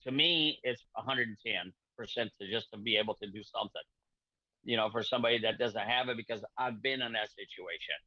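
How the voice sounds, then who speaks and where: A middle-aged man from Portugal, a repair shop owner and guest on a video call, speaking in halting, repetitive friendly sentences. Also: fairly low pitch, pushed and bright, no room sound. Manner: halting, repeats words, friendly. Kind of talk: casual video-call chat. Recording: dull lo-fi video-call audio.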